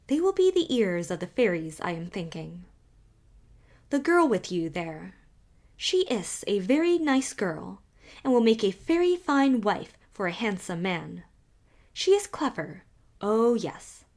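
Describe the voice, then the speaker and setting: A woman doing a regal old Highland widow. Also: very animated, measured pace. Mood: regal, insistent. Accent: Scottish Highland. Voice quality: rich and powerful. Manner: regal, lilting, formal phrasing. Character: stately old Highland widow, proud and strange. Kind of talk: audiobook character reading.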